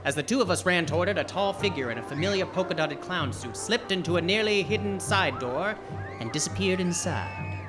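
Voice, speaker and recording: An actor playing a wry, hardboiled private detective in an old-time radio play, with clipped noir delivery. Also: male voice, high voice, expressive, fairly quick, music in the background. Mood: narrating. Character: wry, hardboiled male private detective, narrating his case. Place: live theatre stage.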